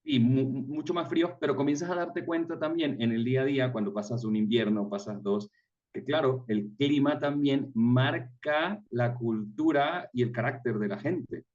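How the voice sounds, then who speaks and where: A man's voice: medium pitch, normal speed, a little mellow. Distant-sounding Ecuadorian man in conversation. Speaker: interview guest, tour guide. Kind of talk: casual interview, personal anecdotes. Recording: distant mic, slightly muffled sound.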